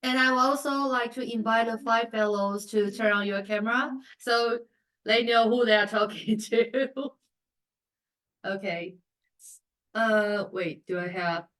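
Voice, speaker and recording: A woman's voice: fairly high pitch, fairly even intonation, measured pace, fairly bright tone. Woman politely moderating an online event. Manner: polite, informal, organizing aloud. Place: video call.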